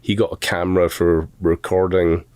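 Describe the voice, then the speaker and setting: A middle-aged man with a softened Scottish accent, an animation instructor telling rambling, self-deprecating stories in a relaxed interview. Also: low voice, normal speed, clear, resonant tone, no room sound, studio quality. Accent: Scottish, softened toward American. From Scotland.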